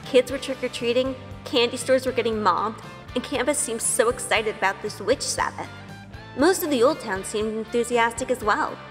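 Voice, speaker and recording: A woman plays a sheltered young witch in an audio drama, sweet-natured and full of wonder. Her voice sits fairly high. It rings in a long reverb with soft music underneath. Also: moderate pace, close to an everyday voice. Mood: wonder-struck. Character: Grace, wide-eyed sheltered good young woman turned witch. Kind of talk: first-person audio drama narration with in-scene dialogue.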